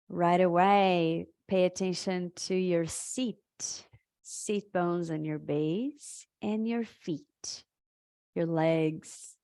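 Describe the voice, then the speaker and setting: A woman leading a live guided meditation in a soothing, halting way, leaving long pauses between short phrases. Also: fairly low pitch, vocal fry, warm tone.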